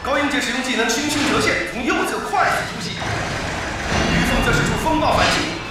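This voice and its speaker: Excitable esports caster, very high voice, emphatic play-by-play, heavy echo, audio drama. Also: male voice, moderate pace, far from the mic. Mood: keen, analytical. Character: excitable male esports match commentator calling the action. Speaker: esports match commentator. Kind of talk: sports commentary in an audio drama. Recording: echoey arena sound over loud music.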